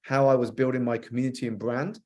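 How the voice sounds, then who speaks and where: A man with a British accent that has been softened by an American influence, a guest author on a podcast, telling a reflective story fluently with some repeated starts. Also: mid-range voice, little pitch movement, quick pace. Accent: British, softened by years with an American spouse. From United Kingdom.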